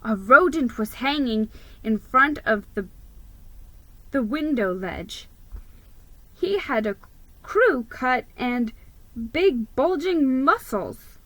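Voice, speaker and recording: A woman giving a theatrical, expressive reading of a children's story as its narrator. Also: high voice, takes it slowly, pauses often, dry recording. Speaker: story reader.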